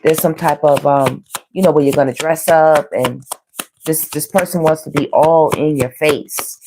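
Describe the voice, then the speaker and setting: Low-pitched, hoarse woman reading tarot. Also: fairly even intonation, a little slow, background noise. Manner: colloquial, frequently checking in with "you know" and "okay". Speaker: tarot reader hosting a live online reading. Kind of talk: casual, conversational tarot reading to viewers.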